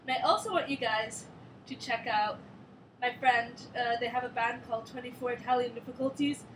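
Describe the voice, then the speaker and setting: A woman's voice: fairly high pitch, brisk, noisy background. A young woman from Switzerland chatting casually to camera in a rambling, filler-heavy way, sounding strained while doing a headstand. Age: young adult. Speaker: vlogger. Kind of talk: casual chat.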